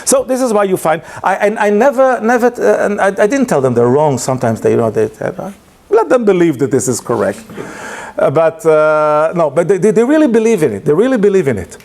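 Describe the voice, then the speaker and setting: A man's voice: high voice, brisk. A man giving an informal lecture, telling a story with many hesitations and restarts, English not his first language. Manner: animated storytelling with laughs. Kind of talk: informal lunchtime talk.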